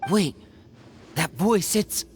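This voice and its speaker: A woman voicing an earnest, gentle young adventurer in a video game, speaking softly and sincerely. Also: fairly low pitch, slow pace, faint music behind. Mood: uneasy.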